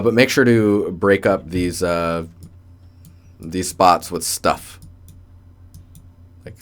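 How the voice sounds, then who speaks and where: A man's voice: low voice, smooth. A young man hosting a casual livestream, rambling and joking in a colloquial way as he gives commentary. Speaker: livestream host, digital artist. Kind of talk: casual livestream chat and portfolio critique. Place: indoor room with door closed.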